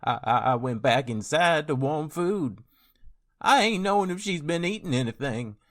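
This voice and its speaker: A man playing a grumbling older village father in a fantasy audio drama, halting and rustic in his talk, sick with worry. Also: a little high, lively intonation, fairly bright tone. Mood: distraught.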